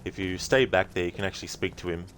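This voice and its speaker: A man's voice: low voice, quick pace. A man giving casual gameplay commentary as a live streamer. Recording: loud game noise behind voice.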